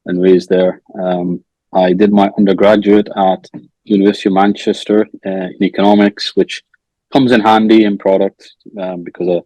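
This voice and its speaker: A middle-aged man from England, answering interview questions in a measured way, full of "um" and "kind of", over a video call. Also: little pitch movement, dry recording, low-quality recording. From Newcastle, northeast England. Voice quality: quiet, low-volume voice. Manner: measured, many fillers like "um" and "kind of". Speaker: interview guest, product manager.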